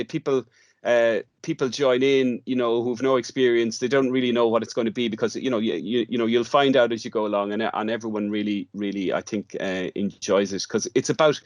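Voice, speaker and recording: A man's voice: mid-range voice, no echo, studio quality. An Irish man speaking as an interview guest in a conversational, hesitant way, often repeating words as he goes. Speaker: guest, radio drama workshop artist.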